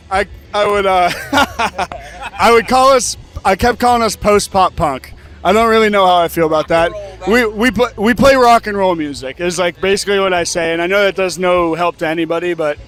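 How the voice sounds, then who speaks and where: A man's voice: high-pitched, a bit bright. A man from Pennsylvania, a band's singer, answering interview questions in a rambling, hesitant, self-deprecating way at an outdoor festival. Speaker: band singer.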